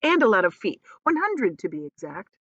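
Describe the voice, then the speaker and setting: A woman's voice: mid-range voice, big pitch swings, normal speed, vocal fry, a bit bright, no echo, dull audio, full character voice. A woman voicing a boastful, rascally male centipede in a children's storybook, bragging and teasing. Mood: proud.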